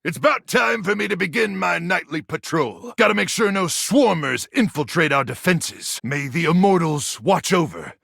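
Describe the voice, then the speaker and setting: A man voicing a frazzled, paranoid soldier microwave in a video game, gravelly and intense, full of awkward military bluster. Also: high-pitched, lively intonation, normal speed, few pauses, a bit bright, dry recording, studio quality, heavily acted. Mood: gung-ho, flustered. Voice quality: gravelly, raspy. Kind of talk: animated video game voice acting.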